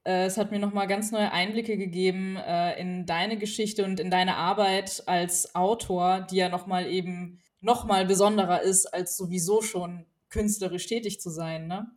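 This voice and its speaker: A woman's voice: on the low side, fairly even intonation, moderate pace, few pauses. Woman hosting a casual podcast interview. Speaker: podcast host.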